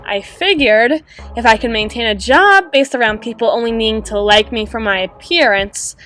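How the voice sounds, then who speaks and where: A woman playing a wistful, guarded female half-spider bouncer in an intimate roleplay monologue, musing with dramatic pauses and soft trailing phrases. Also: on the high side, faint music behind, lightly acted. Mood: wistful, yearning. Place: close-miked recording with music playing behind.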